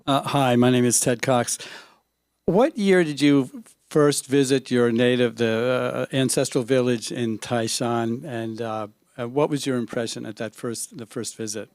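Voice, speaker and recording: A man's voice: mid-range voice, unhurried, darkish tone, clean tone, dry recording, studio quality. A man in the audience introducing himself and asking a polite, slightly hesitant question at a book talk. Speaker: audience member.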